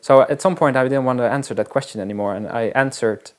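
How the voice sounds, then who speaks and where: A man, a wilderness guide, talking in a casual interview in a halting, reflective way with frequent restarts. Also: mid-range voice. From Netherlands.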